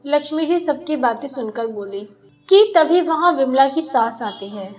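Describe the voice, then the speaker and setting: A woman's voice: high-pitched, animated, normal speed, soft background music. A woman reading scripted narration of a family moral story as a storyteller.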